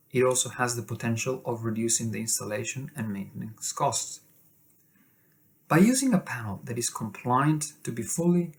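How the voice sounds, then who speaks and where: A man's voice: mid-range voice, creaky, a bit bright. Man narrating a corporate product video. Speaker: company product presenter.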